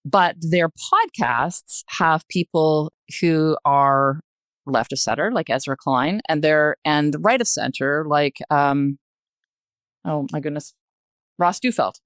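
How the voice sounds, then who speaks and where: A woman's voice: low-pitched, fairly bright tone, no room sound, studio quality. An older woman in a panel conversation, speaking emphatically and often repeating phrases to make her point. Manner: emphatic, halting repetition of phrases. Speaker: podcast guest, retired journalist.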